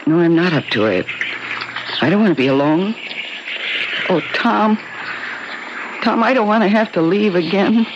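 An actress playing a fragile, homesick young wife in a radio mystery drama. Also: female voice, lowish voice, lively intonation, normal speed, pauses often, noisy background, close to an everyday voice. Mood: troubled. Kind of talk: 1970s radio mystery acting. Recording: muffled vintage radio broadcast with music.

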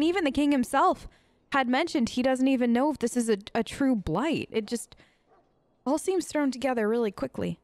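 A woman hosting a gaming video, improvising casual role-play in a chatty, rambling, playful and informal way. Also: high voice. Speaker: gaming video host, role-playing her character.

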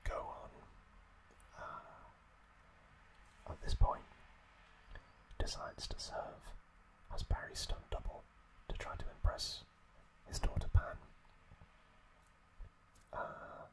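A man speaks entirely in a whisper, at a very unhurried pace. He is an ASMR host recapping a story, breaking it into short phrases with hesitant pauses. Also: rough recording.

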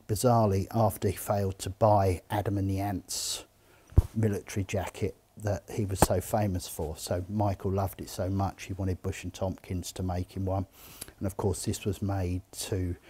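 A middle-aged man who speaks for an auction house, answering interview questions with enthusiasm and measured pauses, in an indoor showroom. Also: deep voice, flat delivery, dark tone, breathy edge. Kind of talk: interview answers about collectibles.

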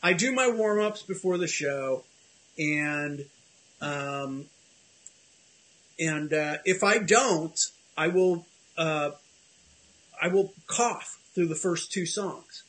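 A man's voice: a little high, slow pace, long pauses, a bit bright, rough recording. A middle-aged man hosting a casual video call chat from his home office, rambling and self-deprecating with many restarts. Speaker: host.

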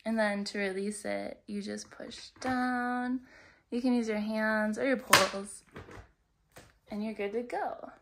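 A woman's voice: medium pitch, fairly even intonation, slow, very noisy. A young woman talking casually and step by step as she demonstrates how her ski gear works. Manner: friendly, explains step by step while handling gear. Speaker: outdoor gear vlogger.

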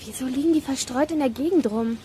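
A woman's voice: high-pitched, fast talker, warm tone, close to an everyday voice. A woman playing an eager, worried young girl detective in a children's audio drama. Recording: outdoor ambience noise behind.

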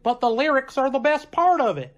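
A woman's voice: high-pitched, sing-song, fairly quick. A woman playing a slangy, matter-of-fact young college musician in an old-time style radio comedy. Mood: blunt, resigned.

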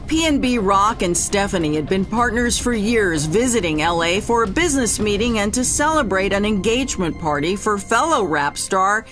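A woman narrating a true-crime news segment in a measured, grave newsreader delivery. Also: medium pitch, lively intonation, over music.

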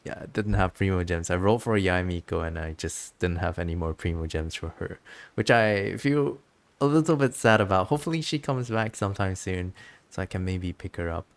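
Low-pitched, chatty game streamer. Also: fairly quick, vocal fry. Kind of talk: casual game stream commentary, reading dialogue aloud.

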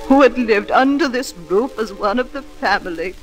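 A woman's voice: a little high, moderate pace, soft background music, close to an everyday voice, poor audio. A woman playing a housekeeper who narrates a sombre tale in a 1940s radio drama. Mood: sombre storytelling.

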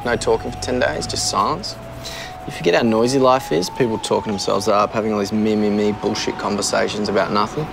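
A man's voice: on the low side, fairly even intonation, fairly quick, vocal fry, over music. A man in his thirties in a comedy sketch, smugly bragging about his spiritual retreat at an outdoor cafe. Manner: smug, self-satisfied spiritual bragging. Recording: street noise behind.

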